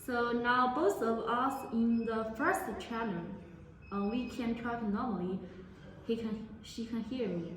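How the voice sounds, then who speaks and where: A woman giving a product demonstration to camera in halting, non-native English phrasing. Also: on the high side, a bit bright. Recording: very echoey room, faint noise.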